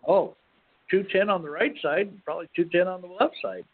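Through a narrow, phone-like signal comes a man with a fairly high voice, chatting as a radio host. He rambles in a halting, casual way and pokes fun at himself. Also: dry recording. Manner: halting, rambling, self-deprecating. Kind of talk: casual chat. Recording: phone-like, band-limited web radio audio.

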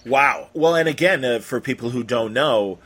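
A man's voice: medium pitch, expressive, moderate pace, fairly bright tone, a little hiss or hum. A man hosting a casual interview, talking in an effusive, rambling way and stumbling over his phrases. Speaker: interview host.

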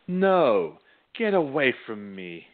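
An actor playing a gruff, flustered, lecherous man in a radio play, halting and blustering. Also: mid-range voice, sing-song, narrow, tinny audio, heavy background noise. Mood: flustered refusal.

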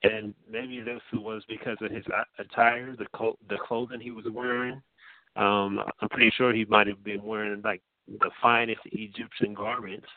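A man's voice: fairly low pitch, flat delivery, normal speed, dry recording. A man preaching an informal sermon, talking conversationally with frequent fillers and restarts. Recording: dry, tinny phone-like sound.

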